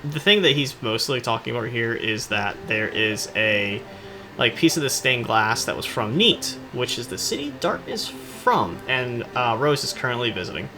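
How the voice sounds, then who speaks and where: A man hosts a video with loose, informal commentary on a game. His mid-pitched voice moves around a lot, animated and joking. Music plays clearly underneath him. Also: normal speed. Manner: joking, self-deprecating, informal. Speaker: YouTube video host. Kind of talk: casual rambling commentary on game cutscenes.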